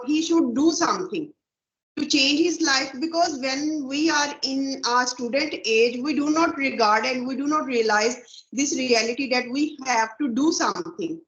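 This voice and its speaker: A woman's voice: sits high, fairly bright tone. A woman speaking as an interview guest in a formal, encouraging, speech-like way. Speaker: guest YouTuber. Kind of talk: interview answers. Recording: muffled, roomy audio.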